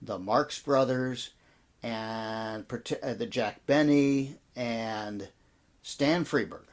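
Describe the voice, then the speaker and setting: An American man in his sixties reminiscing in an interview as a guest, telling his story in a reflective, halting way. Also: mid-range voice. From American Midwest and Texas. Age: 60s. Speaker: interview guest, audio drama producer and actor.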